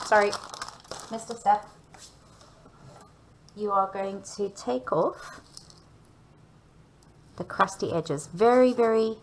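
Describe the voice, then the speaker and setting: A woman's voice: medium pitch, a bit bright, a little hiss or hum, poor audio. A woman giving a hands-on baking demonstration, talking learners through each step in a chatty, instructional way and often checking in. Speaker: cooking and baking teacher.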